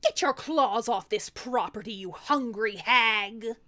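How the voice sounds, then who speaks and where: A woman's voice: a little high, slow, pushed and bright. A woman voicing a bold, shrewd young wife who speaks in a sassy, direct way in a comic folktale. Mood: indignant. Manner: sassy, direct, modern slangy delivery.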